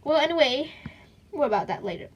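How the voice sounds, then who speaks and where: A woman reading a children's book aloud in an expressive, dramatic way, with chatty asides to her young listeners. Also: sits high, fairly quick, a little hiss or hum.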